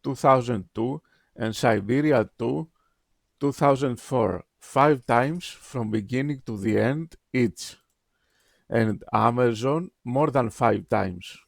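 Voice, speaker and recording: A man whose first language is Greek, reading his written game review aloud in a slow, deliberate, emphatic way with many pauses. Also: medium pitch, gravelly, no room sound, clean studio sound.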